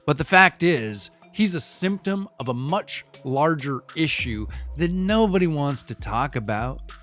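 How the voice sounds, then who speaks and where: Man doing a young street cyclist, cynical and paranoid, profane slangy talk, rambling and conspiratorial, slightly high voice, faint music underneath. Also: expressive, measured pace, a bit bright. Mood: wry. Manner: rambling, conspiratorial, shifts between wry and fired-up. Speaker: local bike courier interviewed. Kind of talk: audio drama monologue.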